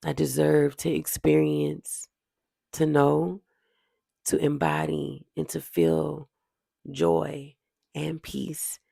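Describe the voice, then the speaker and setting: Meditation guide, mid-pitched and heavily creaky, soothing, repetitive affirmations with long pauses, close dry sound. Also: dark tone, no echo.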